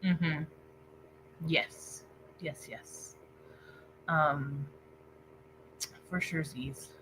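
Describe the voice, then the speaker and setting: A woman's voice: low voice, fairly even intonation, extremely slow, a bit bright, slight background noise, low-quality recording. A woman hosting a casual livestream book discussion over a video call, informally reading out viewer comments. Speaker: book club host. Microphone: internet video call.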